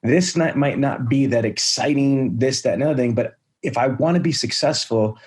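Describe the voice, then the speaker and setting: A man's voice: medium pitch, moderate pace, a little mellow. A man hosting a sales podcast, talking with energy and enthusiasm, praising and setting up role plays. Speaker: podcast host, sales trainer.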